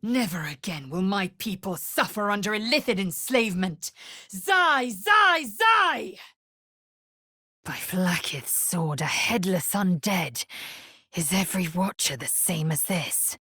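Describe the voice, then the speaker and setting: An actress voicing a proud, fierce, scornful female alien warrior in a video game, with a husky, raspy voice and an imperious, clipped, deliberate way of speaking. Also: medium pitch, expressive, pushed and bright, dry recording, studio quality, heavily acted. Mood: fierce, angry, then scornful, curt. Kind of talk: video game character voice lines.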